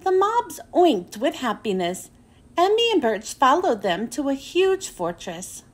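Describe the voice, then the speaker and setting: A woman's voice: high voice, big pitch swings, measured pace. A woman reads a children's storybook aloud, phrasing carefully and expressively for young listeners. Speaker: children's book reader and host.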